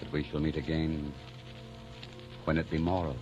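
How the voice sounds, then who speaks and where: A man's voice: a bass voice, little pitch movement, moderate pace. An actor playing a solemn, tender male lover resigned to his fate, grave and intimate, in 1940s radio drama style. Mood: grave, loving. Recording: dull old radio recording, soft music behind.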